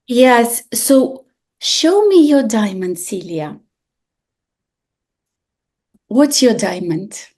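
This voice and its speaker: A woman, hosting a podcast in a casual chat and telling stories in an expressive, animated way with frequent restarts. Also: fairly high pitch, slow pace. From Bulgaria. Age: 40s. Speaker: podcast host.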